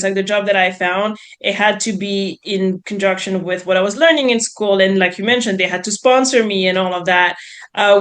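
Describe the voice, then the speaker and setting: Female podcast guest, thirties, French accent, mid pitch, expressive conversational storytelling. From France. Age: 30s. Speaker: podcast guest, real estate investor. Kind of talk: casual interview storytelling.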